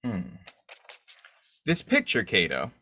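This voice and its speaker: Man playing a deliberate, scheming vigilante newspaper boss. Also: lowish voice, huge pitch swings, pushed and bright, heavily acted. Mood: scheming, musing. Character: shrewd, determined newspaper boss and secret vigilante. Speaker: actor in an old-time radio drama revival. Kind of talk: 1940s radio acting.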